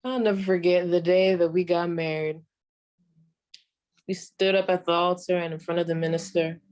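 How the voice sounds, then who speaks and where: A woman playing a sassy old comedienne griping about her ancient husband, in the deadpan, drawn-out style of a 1940s radio stand-up monologue. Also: on the low side, close to an everyday voice. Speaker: comedienne performer.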